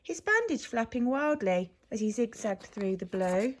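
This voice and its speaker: A woman reading a children's picture story aloud with expressive, emphatic delivery, like an infant school teacher. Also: a little high. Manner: animated, measured storytelling with emphasis.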